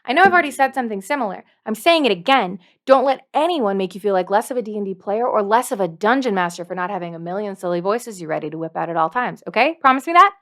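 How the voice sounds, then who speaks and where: A woman's voice: mid-range voice, animated, fast, few pauses, a bit bright. A woman presenting a scripted how-to video in a playful, emphatic way, repeating points for effect. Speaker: dungeon master giving tips as video host. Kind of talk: scripted instructional video talk to camera.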